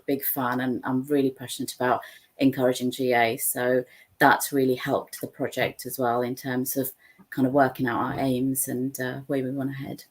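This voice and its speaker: A woman's voice: extremely low pitch, darkish tone. A woman from Sri Lanka, chatting as a guest on a video call from a room at home, talking freely with many fillers and restarts. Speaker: guest, founder of an aviation charity. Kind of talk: casual video-call interview. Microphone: laptop webcam on a video call.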